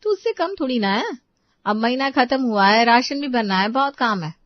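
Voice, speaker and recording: A woman's voice: on the high side, lively intonation, normal speed, no room sound, highs cut off, natural voice. A woman playing a dutiful young Indian housewife who frets over household money in an acted family story. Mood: bantering.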